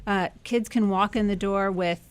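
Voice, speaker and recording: A woman's voice: medium pitch, fairly even intonation, moderate pace, clear, resonant tone. An American woman being interviewed on a talk show, explaining things at length with frequent "um" fillers. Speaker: executive director of a youth music center.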